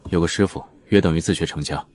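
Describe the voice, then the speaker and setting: A man's voice: sits low, fast, a little mellow, dry recording. A man voicing a composed, shrewd young man in an audio drama, speaking matter-of-factly. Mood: calm, pragmatic. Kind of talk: dubbed audio drama dialogue.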